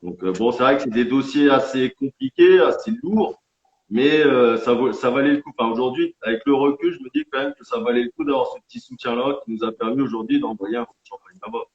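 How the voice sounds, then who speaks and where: Male winegrower guest, earnest and halting, roomy lo-fi recording. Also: mid-range voice. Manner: earnest, explanatory, somewhat halting. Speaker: independent champagne winegrower. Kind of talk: guest in a live-streamed interview. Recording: echoey, dull lo-fi sound.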